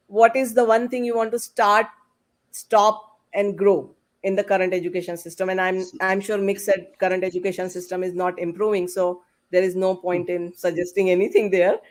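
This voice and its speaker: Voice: mid-range voice, steady tone, normal speed. A host moderating a live online panel over a video call, speaking in an informal, chatty and somewhat hesitant way.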